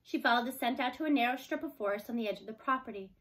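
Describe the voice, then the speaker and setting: A woman's voice: a little high, racing, fairly bright tone. A woman reading a children's novel aloud as scripted storybook narration. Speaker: storybook reader.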